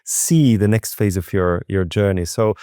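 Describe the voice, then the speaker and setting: Male interview host, soft dark tone, dry sound, effusive conversational delivery with frequent rephrasing. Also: fairly low pitch, measured pace, clear, resonant tone, dry recording. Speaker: podcast host.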